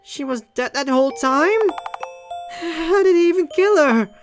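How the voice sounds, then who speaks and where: A woman's voice: very high voice, lively intonation, measured pace, voice pushed up. A woman voicing a frightened, distraught young woman in a horror visual novel, exclaiming urgently over game music.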